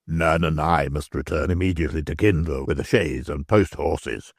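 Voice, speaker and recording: A reader voicing a gruff cornered highwayman. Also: normal speed, no room sound, clean studio sound, heavily acted. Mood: grim. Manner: low, clipped, cursing under pressure. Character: desperate highwayman captain, curt and cursing.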